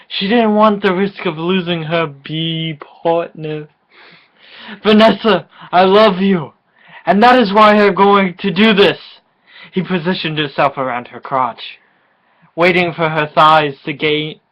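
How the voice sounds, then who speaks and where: A man's voice: high voice, measured pace, a bit bright, dull audio. A slightly tipsy man bantering casually and reading aloud for comic effect in a crude, teasing, informal way. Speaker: co-host of a dramatic reading video.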